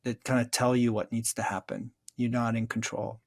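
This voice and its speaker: Male author guest: average pitch, conversational, thoughtful explainer who leans on key phrases. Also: level tone, normal speed. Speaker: author being interviewed. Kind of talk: conversational interview answers.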